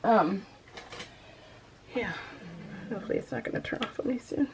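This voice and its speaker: A woman's voice: a little high, moderate pace, noisy background. An American woman chatting casually to viewers as a craft vlogger, rambling and giggly, poking fun at herself and often trailing off mid-thought. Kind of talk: casual chat to viewers while showing a craft project.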